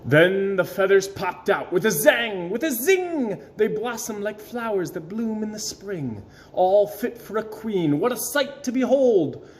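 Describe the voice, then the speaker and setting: A man reading a rhyming children's story aloud, theatrically, with dramatic emphasis and pauses. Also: high voice, very animated. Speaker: storybook reader. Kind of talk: expressive scripted children's read-aloud.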